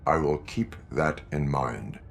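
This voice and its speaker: An actor playing a stoic male cyborg police officer, speaking in flat, clipped, terse lines in a game cutscene. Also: extremely low pitch, slow, vocal fry, full character voice. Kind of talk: video game cutscene acting.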